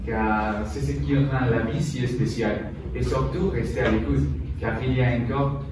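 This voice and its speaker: A man's voice: medium pitch, fairly even intonation, moderate pace. A man speaking as an interview guest in a formal, encouraging address, recorded from far off in a noisy, echoey room.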